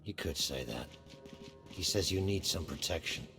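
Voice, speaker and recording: Deep, gruff bounty hunter. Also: male voice, lively intonation, moderate pace. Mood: guarded. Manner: terse, gruff. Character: tough, laconic male bounty hunter for hire. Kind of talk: gritty sci-fi game cutscene acting. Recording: long hall reverb under clear music.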